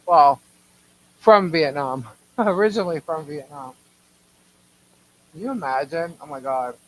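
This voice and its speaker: A man's voice: on the high side, a little slow, a bit bright, low-quality recording. A man chatting casually as a co-host on a live stream. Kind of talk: casual chat. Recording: clear background noise.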